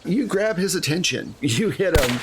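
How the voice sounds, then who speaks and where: A man's voice: a little high, lively intonation, moderate pace, noisy background. A man running a tabletop game, narrating casually as game master with a jokey streak.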